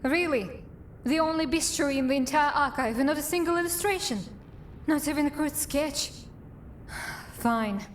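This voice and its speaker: A woman playing a wry, guarded dark elf assassin in a fantasy game, dry and sardonic in her delivery. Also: sits high, normal speed, a little hiss or hum, lightly acted. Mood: sardonic musing. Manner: dry, sardonic, muttering to herself. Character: wry, guarded female drow assassin adventurer. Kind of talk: acted fantasy game dialogue and monologue.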